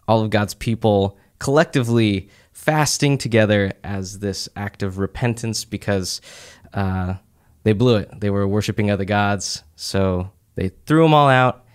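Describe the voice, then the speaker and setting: A young man with a fairly low, smooth and clear voice talks through a Bible teaching in a relaxed, chatty way, full of "uh" and "like." He sits at a studio mic on a boom arm in a small office room. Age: young adult. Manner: relaxed, conversational, many "uh" and "like" fillers. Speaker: church teaching podcast speaker. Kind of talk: casual Bible teaching talk.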